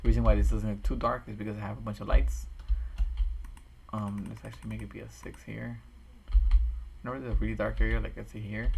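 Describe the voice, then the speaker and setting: Low-pitched man with a gravelly, tired voice who rambles while building a game map on a stream. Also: darkish tone, a little hiss or hum. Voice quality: raspy, tired voice. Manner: rambling, thinks aloud with restarts. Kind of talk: casual commentary while building. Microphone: desk microphone.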